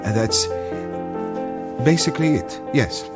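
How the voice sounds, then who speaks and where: An actor playing a deferential, measured advisor in an audio drama, speaking formally and with careful politeness. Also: male voice, mid-range voice, expressive. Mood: polite. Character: deferential, measured male advisor to a powerful protégé. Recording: loud music behind.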